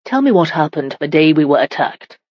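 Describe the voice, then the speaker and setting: Casting: commanding medieval warrior husband read by a woman, low-pitched, firm, exasperated yet protective, dry audiobook recording. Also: very animated, brisk, no room sound, lo-fi sound. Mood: stern.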